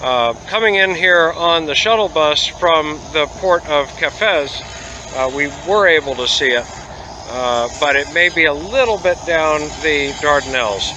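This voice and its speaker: Man, travel vlogger, slightly high voice, loose rambling delivery, outdoor recording with street noise. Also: expressive. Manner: rambling, many "uh" pauses, easygoing. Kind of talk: casual travel vlog narration. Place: outdoors at a port waterfront.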